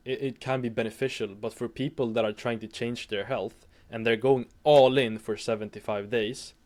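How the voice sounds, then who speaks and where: A young man from Sweden with a Swedish accent, speaking English as a second language as an interview guest, hesitant and halting with frequent restarts. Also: mid-range voice, fairly even intonation, a little mellow. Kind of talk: casual interview chat.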